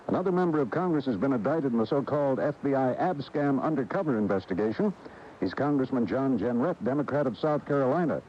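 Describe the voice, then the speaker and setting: An American man reading scripted news as a radio anchor. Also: mid-range voice. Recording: dull radio broadcast with faint noise.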